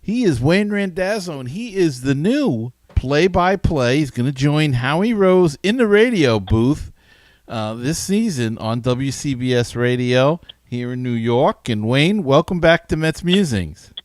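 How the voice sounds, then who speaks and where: A man hosting a sports podcast, talking casually in a halting, conversational way. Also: on the high side, animated, dry recording, studio quality. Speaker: podcast host.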